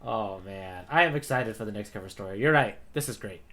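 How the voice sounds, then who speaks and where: A man's voice: fairly low pitch, lively intonation, fairly quick, crowd noise. A man talking informally and casually with slang as a podcast co-host. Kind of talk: casual chat.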